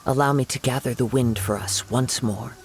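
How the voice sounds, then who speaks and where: An actor playing a calm, wistful young male wandering samurai in a video game, speaking in a measured, reflective, formal way. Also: medium pitch, vocal fry, slight background noise, natural voice. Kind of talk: scripted video game acting. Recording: music behind the voice.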